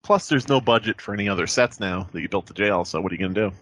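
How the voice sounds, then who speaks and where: A man's voice: lowish voice, rapid-fire, fairly bright tone, no echo. A man chatting casually as a podcast host, reading aloud and riffing with sardonic, sweary asides. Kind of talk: casual comedic chat, reading aloud with banter.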